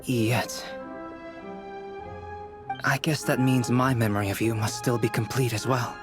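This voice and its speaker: An actor playing a calm, scholarly young male alchemist in a game, explaining in a measured, analytical way. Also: medium pitch, close to an everyday voice. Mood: wry. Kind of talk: game cutscene voice acting. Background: background music.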